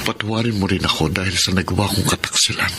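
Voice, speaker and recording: An actor playing a devoted, contrite husband in a Filipino radio drama, earnest and halting. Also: male voice, sits low, background noise. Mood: overcome, loving. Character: devoted, contrite estranged husband, still deeply in love.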